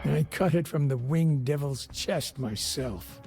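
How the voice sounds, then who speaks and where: An actor playing a proud, zealous warrior commander in a video game, speaking in a formal, commanding, theatrical way. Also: male voice, a little high, unhurried, gravelly. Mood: grave. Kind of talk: dramatic video game voice acting. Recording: music under the voice.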